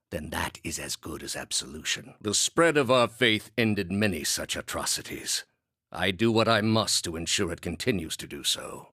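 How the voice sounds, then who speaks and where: A man playing an ancient, zealous high priest villain in a fantasy video game, grave, measured and condescending in a theatrical, oratorical way. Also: sharp tone, no room sound, clean studio sound, exaggerated voice. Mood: solemn conviction.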